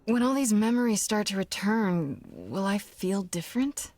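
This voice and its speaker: A woman's voice: medium pitch, animated, normal speed, a little mellow, dry recording, close to an everyday voice. A young woman who has just become a god played by an actress in a video game. She sounds inquisitive and uneasy, with a mid-range voice and plain, casual phrasing, recorded very dry. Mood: questioning. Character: young mortal woman newly made a god, questioning, uneasy.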